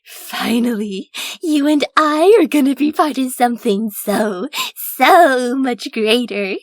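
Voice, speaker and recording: Very high-pitched, gloating showman villain. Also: takes it slowly, no echo, studio quality. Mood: gleeful anticipation. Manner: theatrical, grandiose, teasing. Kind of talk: scripted game character lines.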